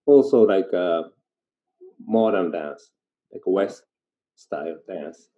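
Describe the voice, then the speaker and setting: A man's voice: low voice, lively intonation. Older Japanese-accented man, muffled recording. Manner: informal, halting, non-native phrasing. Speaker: interview guest, performer in dance theater. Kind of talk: casual conversational storytelling. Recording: dull, lo-fi sound.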